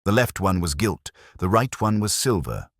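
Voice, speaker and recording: A man voicing a shrewd, coolly analytical Victorian gentleman detective with precise, formal speech in an audiobook. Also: deep voice, big pitch swings, moderate pace, dry recording, clean studio sound, pitched above the performer's own voice. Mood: musing, analytical. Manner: precise, formal, musing deductions.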